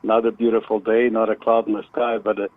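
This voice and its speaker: A narrow, muffled phone line carries an older man's voice. He is a guest calling a radio show, speaking casually in a lowish, fairly even pitch. He halts and repeats words as he goes. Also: fast, no echo. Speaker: phone-in guest, retired contractor.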